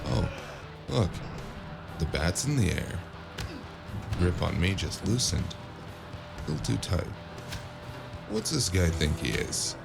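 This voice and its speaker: A hardboiled brawler in a noir radio play, narrating his own fight in terse, clipped thoughts. The voice is low and gravelly with a slow delivery, dazed yet cocky. Loud music runs underneath. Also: big pitch swings, full character voice. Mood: focused, terse. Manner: clipped, terse inner-monologue phrases. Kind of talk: first-person noir audio drama narration.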